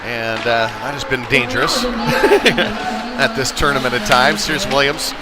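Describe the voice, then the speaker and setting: A man's voice: unhurried, a loud crowd. A man giving live play-by-play commentary of a youth hockey game, following the action and lifting his voice at big plays. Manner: running description with excited shouts at big plays. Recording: noisy arena with rumble and thuds.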